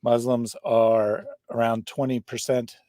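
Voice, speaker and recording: A man's voice: medium pitch, level tone, takes it slowly, warm tone, no room sound. A man speaking as a guest in a casual discussion, blunt and emphatic.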